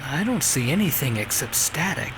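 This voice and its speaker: An actor playing a grave, shaken but determined scientist with halting delivery in an audio drama. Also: male voice, a little high, big pitch swings, background noise. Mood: uneasy. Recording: loud background ambience under voice.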